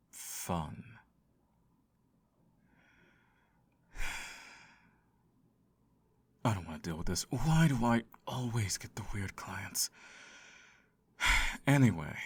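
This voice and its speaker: A man's voice: deep voice, dry recording. A man voicing a sardonic, seductive male incubus in an ASMR roleplay, theatrical and dryly sarcastic. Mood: annoyed, insistent. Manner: theatrical, sighing, dry sarcasm.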